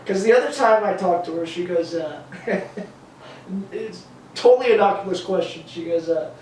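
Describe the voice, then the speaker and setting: Lively storytelling man in his thirties. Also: high-pitched, measured pace, a little hiss or hum. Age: 30s. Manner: animated storyteller, acts out quotes. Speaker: podcast host. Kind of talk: casual chat. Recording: echoey room, distant mic.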